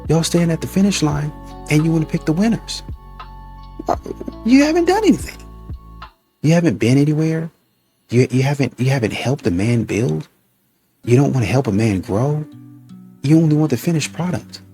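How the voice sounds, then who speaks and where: A man rants on his reaction channel, mocking and animated, sometimes slipping into acted-out quotes. His voice is mellow and relaxed in tone. Faint music plays underneath. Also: fairly high pitch, normal speed, pauses often. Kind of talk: ranting commentary.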